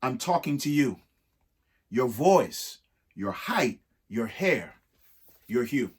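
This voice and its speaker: Husky man reading aloud with lively, swooping pitch. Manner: emphatic, pausing between short phrases. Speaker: reader of a children's picture book. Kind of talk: expressive read-aloud of a rhyming alphabet book with spoken asides.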